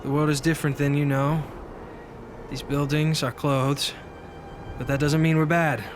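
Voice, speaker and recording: Man playing gentle persuader over music. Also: fairly high pitch, flat delivery, moderate pace, natural voice. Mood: reassuring. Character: earnest, gentle persuader with reassuring temper. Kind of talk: audio drama acting.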